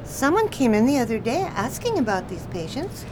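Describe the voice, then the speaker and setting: Chirpy android care worker, female actor, radio drama: very animated, high, noisy background. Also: normal speed. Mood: eager, surprised.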